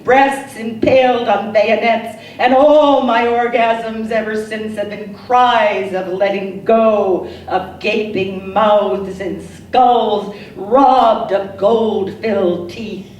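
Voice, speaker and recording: Older american lady reading poems real slow and solemn, mellow voice, kinda far from the mic. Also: mid-range voice, steady tone, reverberant, a little hiss or hum. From Newark, New Jersey, USA. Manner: slow, solemn, deliberate phrasing with pauses. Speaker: poet. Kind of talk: dramatic poetry reading.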